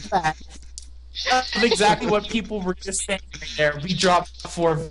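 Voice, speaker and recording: Loud man hosting a stream, noisy mic. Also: high voice, slow. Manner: loud, announcing to stream viewers. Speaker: podcast host. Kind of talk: casual livestream banter.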